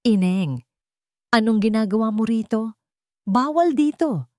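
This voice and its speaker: A woman's voice: a little high, lively intonation, unhurried, warm tone, no room sound, studio quality, pitched above the performer's own voice. A woman with a Filipino accent voicing a mild, questioning old male security guard in an audio drama. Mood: puzzled. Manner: questioning, unthreatening.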